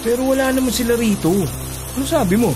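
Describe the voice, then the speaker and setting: A man's voice: very high-pitched, fast, music in the background. A man with a Filipino accent playing an inquisitive, alarmed buddy from work in a radio drama, full of questions and exclamations. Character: alarmed, inquisitive male market co-worker and buddy.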